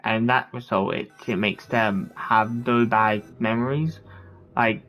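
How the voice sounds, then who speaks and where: A man's voice: fairly low pitch, steady tone, slow pace, fairly bright tone, low-quality recording. A man doing casual game commentary, slangy and thinking aloud as he goes. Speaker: gaming streamer. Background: game music.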